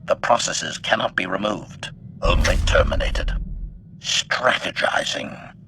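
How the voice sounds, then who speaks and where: A man's voice: low voice, lively intonation, slow, noisy background. A man voicing a menacing, coldly logical combat droid that speaks in formal, clinical statements, with robotic processing, for a video game. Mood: clinical, calculating. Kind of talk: video game character acting.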